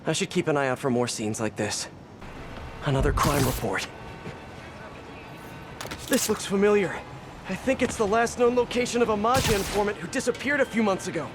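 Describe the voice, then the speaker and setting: A young American superhero detective in a video game, pitched a little high for a man. He muses to himself with curious, earnest wit and a wry conversational touch. Clear traffic-like noise runs behind him. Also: expressive, moderate pace, long pauses. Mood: wry, musing. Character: young quippy superhero investigator, curious, earnest, thinking aloud. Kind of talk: video game voice acting, monologue.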